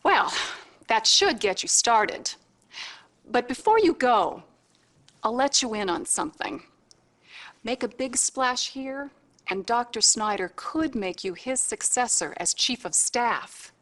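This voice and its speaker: A woman's voice: on the high side, big pitch swings, slow pace, fairly bright tone, full character voice. An actress playing a sassy, no-nonsense admitting nurse in a video game, bossy and informal in the way she talks. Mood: wry, instructive. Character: brassy, wisecracking, no-nonsense hospital admitting nurse. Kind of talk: scripted video game cutscene acting.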